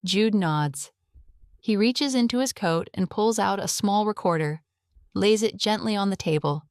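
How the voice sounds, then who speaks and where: A woman narrating a scripted audio drama in a measured, atmospheric storytelling manner. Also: medium pitch, clean tone, no echo, studio quality.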